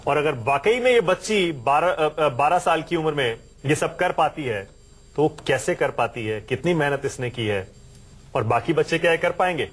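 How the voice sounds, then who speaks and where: Brisk, slightly high-voiced male news anchor. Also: lively intonation. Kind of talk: studio interview. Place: TV news studio.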